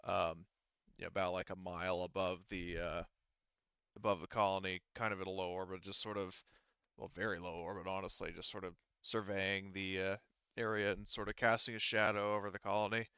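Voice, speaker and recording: Male game master, smooth low voice, casual and halting delivery, dry and slightly dull recording. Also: little pitch movement, pushed and bright, no room sound. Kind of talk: running a tabletop role-playing game, casual explaining.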